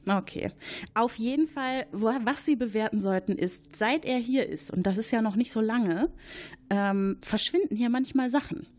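A woman's voice: fairly high pitch, normal speed, darkish tone, natural voice. A woman playing a chatty, gossipy male supervisor at a military base in an improvised audio drama, rambling and hesitant as he points at a colleague. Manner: rambling, hesitant, eager to accuse.

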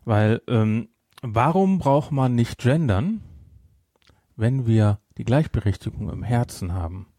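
A man's voice: medium pitch, animated, slow pace, mellow, no echo. Middle aged guy on a podcast, rambly and jokey, casual chat, really dry close sound. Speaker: podcast co-host.

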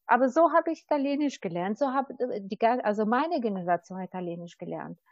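A woman's voice: a little high, lively intonation, brisk, no echo, lo-fi sound. A woman in her thirties from Albania with an Albanian accent, speaking as an interview guest, often hesitating with fillers and restarts. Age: 30s. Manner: hesitant, many ums and restarts. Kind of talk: conversational interview.

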